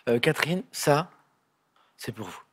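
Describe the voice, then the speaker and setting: A man hosting a television quiz show, chatting with contestants in a teasing, friendly way, his voice a little hoarse. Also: mid-range voice, big pitch swings, measured pace. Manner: chatty, teasing, with frequent fillers and restarts. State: voice a bit hoarse. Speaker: game show host. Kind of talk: friendly candidate introductions. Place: television studio.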